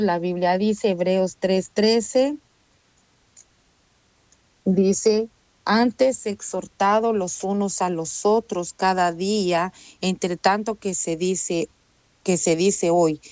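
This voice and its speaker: A woman's voice: fairly low pitch, darkish tone, dry recording. A woman preaching a sermon in an earnest, conversational way, often adding "amén" and "¿verdad?". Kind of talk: Spanish-language sermon.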